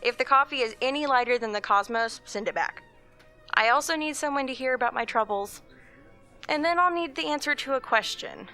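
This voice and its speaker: Wry female academic, radio-play dialogue, weary sarcasm, high-pitched, faint music behind. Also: a little fast, sharp tone. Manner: dry, sarcastic. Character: wry, weary, sardonic young female professor. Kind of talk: audio drama acting.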